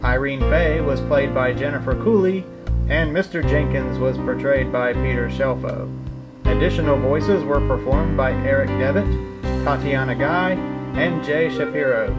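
A male announcer delivers scripted radio drama credits at a medium pitch. Plucked guitar music plays prominently behind him. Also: normal speed, highs cut off.

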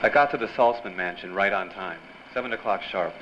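Man acting hardboiled reporter, low bright voice, wisecracking, 1940s noir radio drama, faint noise. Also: brisk, natural voice. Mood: wry, narrating. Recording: dull, faintly noisy stage recording.

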